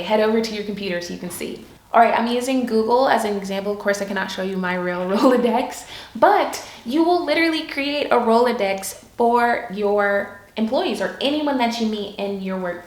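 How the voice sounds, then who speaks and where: A young woman giving casual, upbeat career advice in a chatty vlog style, with lots of "like"s. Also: mid-range voice. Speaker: YouTube creator, product and project manager. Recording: echoey room.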